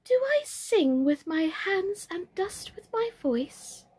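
High, singsong happy doll, Birdie. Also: female voice, big pitch swings, a little mellow, exaggerated voice. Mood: happy wondering. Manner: singsong, drawn-out, chirpy. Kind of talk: children's storybook character voice.

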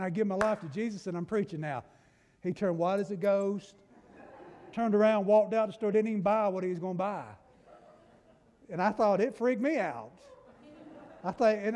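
A man's voice: high voice, lively intonation, normal speed. Southern man preaching in a reverberant church. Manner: folksy storytelling preacher, swings from loud to hushed. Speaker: pastor. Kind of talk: sermon. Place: church sanctuary. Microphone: pulpit mic from a far camera. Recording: long church-hall reverb.

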